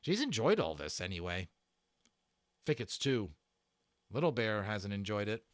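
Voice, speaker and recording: A man's voice: fairly low pitch, very animated, a little slow, no echo, studio quality. A man voicing an eager, friendly schoolboy in a storybook, with a casual, chatty manner. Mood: chatty, amused.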